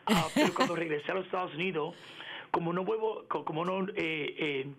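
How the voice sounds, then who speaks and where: A middle-aged man in a radio interview, speaking haltingly with many fillers and restarts and slipping into English words. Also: on the high side, fairly bright tone. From United States. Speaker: interview guest, engineering executive. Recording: radio broadcast.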